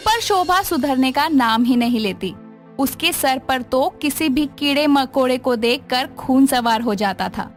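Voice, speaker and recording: High-pitched, unhurried woman storyteller. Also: a bit bright. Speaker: narrator. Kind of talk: scripted storytelling narration.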